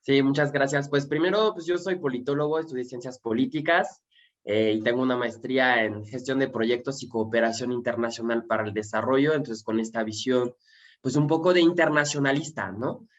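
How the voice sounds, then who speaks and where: A man's voice: mid-range voice, a little fast, dull audio. A young man from France, a guest activist, speaking hesitantly with many fillers. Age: young adult.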